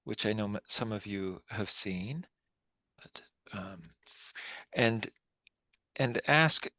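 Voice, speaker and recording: A man hosting an informal interview, tentative and halting, repeating words as he forms his questions. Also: on the low side, sharp tone, no room sound. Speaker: host and interviewer at a book reading.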